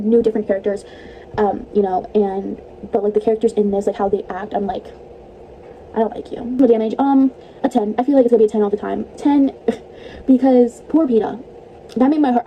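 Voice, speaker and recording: A young woman rambles through a casual movie review vlog, laughing often as she talks. Her voice is a little high but very mellow and dark, and it sounds stuffy and tired. A faint hiss of noise sits behind her. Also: poor audio. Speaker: vlogger.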